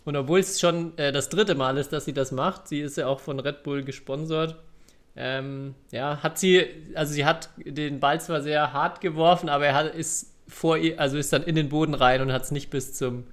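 A man's voice: a little high, fairly even intonation, normal speed, a bit bright. A man hosting a podcast, chatting casually about sport. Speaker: podcast host. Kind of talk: casual chat on a badminton podcast.

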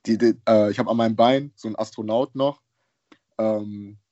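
A man's voice: on the low side, fairly even intonation, normal speed, dark tone, no echo, muffled recording. Laid-back young man, slangy chat. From Germany. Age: young adult. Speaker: interview guest, basketball player. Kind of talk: casual chat.

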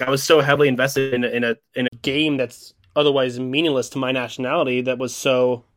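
Excitable American man, rambling. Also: medium pitch. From Florida, USA. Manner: excited, rambling, many restarts. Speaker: podcast guest host. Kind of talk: casual sports podcast chat.

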